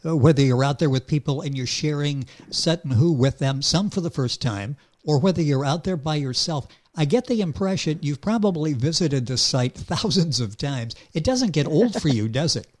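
A man's voice: fairly high pitch, expressive, normal speed, hardly any pauses, darkish tone. A man hosting a radio program, interviewing a guest in a friendly, conversational way. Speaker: radio show host.

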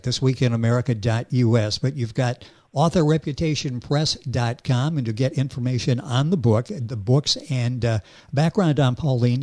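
A man's voice: medium pitch, darkish tone. A man hosting a radio program, interviewing a guest in a friendly, conversational way. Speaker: radio show host.

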